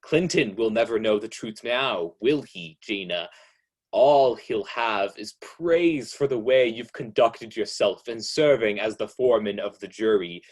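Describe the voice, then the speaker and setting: A man playing an ominous, all-knowing radio mystery narrator who speaks in a sardonic, accusing and deliberate way. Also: on the low side, slightly rough. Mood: sinister, taunting. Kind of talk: old-time radio mystery narration, second person.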